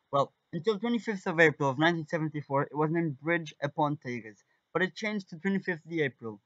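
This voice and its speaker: A man's voice: a little high, fairly bright tone, no room sound, muffled recording. A teenage man from Portugal with a Portuguese accent, presenting an amateur documentary as a student guide.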